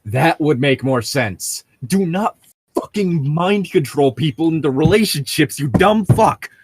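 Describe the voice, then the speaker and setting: Exasperated, sweary man with an animated delivery. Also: a little high, unhurried, a little hiss or hum. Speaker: co-host of a comedic group read-along. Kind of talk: casual riffing and reading aloud with friends.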